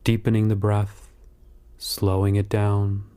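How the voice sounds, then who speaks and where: Male meditation narrator: lowish, very soft-edged relaxed tone, near-flat delivery, calm and unhurried phrasing. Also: clean tone. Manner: calm, measured, soothing instruction with long pauses. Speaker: meditation guide.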